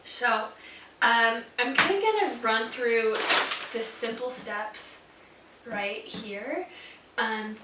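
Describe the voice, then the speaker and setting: A young woman in her twenties with a slightly hoarse voice from being sick, casually explaining sewing steps with lots of ums. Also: on the high side, a bit bright, slight background noise. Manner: chatty, filler-heavy explaining, many ums. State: hoarse, recovering from illness. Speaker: sewing vlogger. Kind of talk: casual tutorial vlog. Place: home sewing room at night. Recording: echoey room, distant camera mic.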